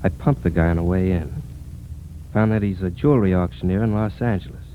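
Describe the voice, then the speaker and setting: Cocky young crook, low voice male actor. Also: brisk, natural voice. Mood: cocky, scheming. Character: cocky, scheming young male parole jumper. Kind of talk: 1940s radio acting. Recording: narrow, noisy 1940s radio recording.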